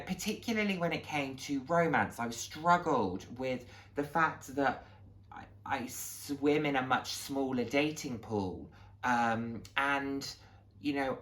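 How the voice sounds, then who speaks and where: A man's voice: mid-range voice, a bit bright. A man giving a candid, motivational monologue to camera, speaking earnestly and emphatically and repeating phrases for stress. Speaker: vlogger sharing a personal journey.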